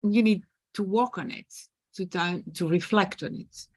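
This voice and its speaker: A woman's voice: medium pitch, a little slow, darkish tone, dry recording. A middle-aged woman from France with a French accent, speaking as a guest on a podcast about her work as a leadership coach, thoughtful and full of small restarts. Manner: thoughtful, with many fillers and restarts. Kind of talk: podcast interview.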